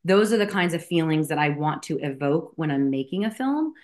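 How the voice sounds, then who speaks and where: A woman's voice: low voice, lively intonation, fairly quick, darkish tone. A woman from New Jersey, a film director and actress, answering interview questions in a chatty way with many fillers and restarts.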